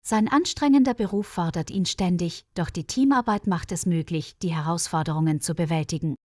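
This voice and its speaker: A woman reading a scripted story for language learners, articulating clearly. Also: mid-range voice, darkish tone, dry recording, clean studio sound. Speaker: narrator.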